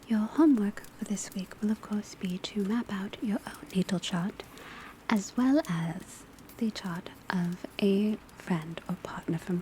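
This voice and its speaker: A woman in a scripted ASMR classroom roleplay, speaking in a soft, breathy whisper as a measured, precise witch-school teacher. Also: mid-range voice. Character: calm female witch-school divination professor. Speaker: ASMR performer playing a divination class teacher. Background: crackling fireplace, rain on rooftop, pencil writing.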